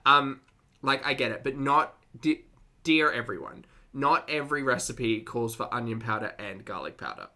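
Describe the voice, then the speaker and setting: Animated, bright-voiced, incredulous reaction commentator. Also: medium pitch, moderate pace. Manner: incredulous, sweary, amused. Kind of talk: casual, unscripted reaction commentary.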